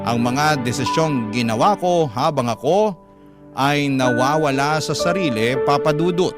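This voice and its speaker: A man's voice: fairly high pitch, unhurried. A man reading a scripted first-person story as a radio drama narrator. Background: prominent instrumental background music.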